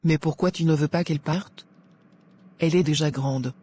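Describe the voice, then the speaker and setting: A woman's voice: deep voice, steady tone, normal speed, dark tone, close to an everyday voice. A woman playing a supportive middle-aged mother in a cartoon. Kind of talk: cartoon voice acting. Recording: faint background noise.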